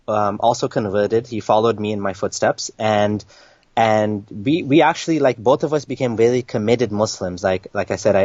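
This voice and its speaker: A man's voice: lowish voice, dry recording. Young guy from kenya chatting as a guest, keeps restarting, dull dry audio. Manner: halting, many restarts and fillers. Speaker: interview guest. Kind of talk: casual interview talk.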